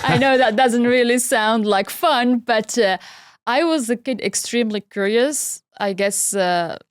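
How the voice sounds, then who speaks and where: Articulate middle-aged woman, smooth voice. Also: on the high side, measured pace, no echo, studio quality. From Algeria. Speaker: guest, pharma regulatory head. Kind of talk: interview conversation.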